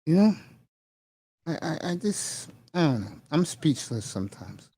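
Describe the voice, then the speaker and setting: A man hosting a casual conversation, speaking earnestly and encouragingly with many pauses and fillers. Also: fairly high pitch, very animated, slightly rough. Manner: warm, encouraging, hesitant fillers. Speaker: host.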